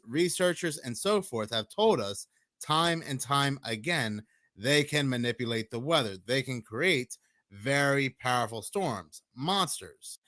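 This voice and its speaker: Man from the US, hoarse and chalky, mid pitch, measured commentary. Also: dry recording. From United States. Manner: measured, steady explaining. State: hoarse, sore throat. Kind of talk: explanatory conspiracy commentary.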